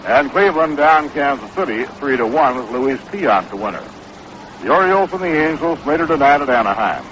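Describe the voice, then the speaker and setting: A man's voice: mid-range voice, expressive, fairly quick, fairly bright tone. Dull, noisy vintage radio baseball announcer, American man. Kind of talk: 1960s live radio sports commentary. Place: ballpark broadcast booth.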